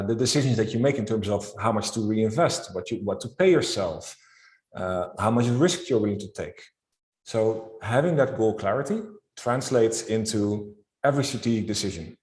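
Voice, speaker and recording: A man with a Dutch accent, a guest accounting expert, explaining his views in a calm, structured way. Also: fairly low pitch, normal speed, reverberant. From Netherlands. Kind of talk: interview answers.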